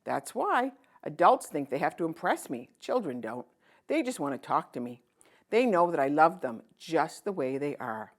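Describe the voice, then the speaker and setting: A woman voicing a kind, weary king disguised as a merchant in a children's storybook, speaking in a gentle, fatherly manner. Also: low voice, sing-song, normal speed, exaggerated voice. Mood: reflective. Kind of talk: children's storybook read-aloud.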